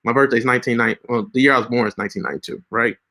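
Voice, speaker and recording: A man's voice: medium pitch, fairly even intonation, very fast, bright, forceful tone. A man in his late twenties giving casual, preachy social media advice with lots of fillers and restarts. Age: 20s. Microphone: screen-share recording setup.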